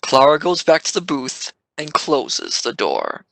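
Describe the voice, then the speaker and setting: Bright-voiced male audio drama narrator. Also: on the high side, wildly swooping pitch, unhurried, vocal fry, no echo.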